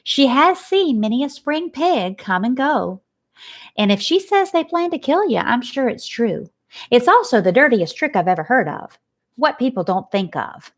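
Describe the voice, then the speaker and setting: A woman voicing a calm, brisk female spider who speaks firmly and thoughtfully. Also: on the high side, pitched above the performer's own voice. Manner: measured, firm and thoughtful.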